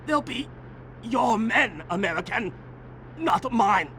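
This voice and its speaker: A contemptuous game villain colonel, pitched very high for a male. The tone is sharp and forceful, clipped with bitterness and command. Faint noise runs underneath. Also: lively intonation, slow pace, heavily acted. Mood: bitter, defiant. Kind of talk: video game voice acting.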